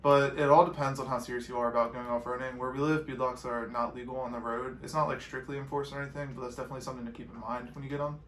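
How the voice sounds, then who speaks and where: A man's voice: medium pitch, level tone, very fast, hardly any pauses, fairly bright tone. A young American man co-hosting an off-road vlog, reading out questions and giving practical, matter-of-fact answers in casual chat. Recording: roomy echo with some background noise.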